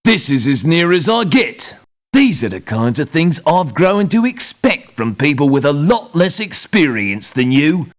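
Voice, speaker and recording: A hot-tempered male mercenary veteran from a video game. His voice sits fairly high and swings animatedly, with slow, drawn-out words that drip sarcasm and blunt scorn. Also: big pitch swings. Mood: angry, dismissive. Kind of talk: video game character voice lines.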